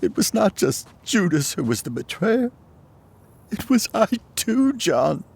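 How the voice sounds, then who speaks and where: An actor playing the apostle Peter, telling the story in a halting, stammering first-person way. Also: male voice, high voice, very animated, vocal fry, darkish tone, slight background noise, exaggerated voice. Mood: remorseful. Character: remorseful apostle Peter, a grown fisherman. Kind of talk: first-person dramatic narration. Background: music.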